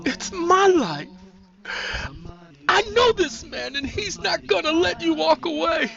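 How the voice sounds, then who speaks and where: A man's voice: high voice, sing-song, slow, a bit bright, far from the mic, voice pushed up, heavily acted. A man voicing a frightened, desperate woman who pleads urgently in a dramatic story. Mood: desperate, pleading. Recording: dull lo-fi recording with music.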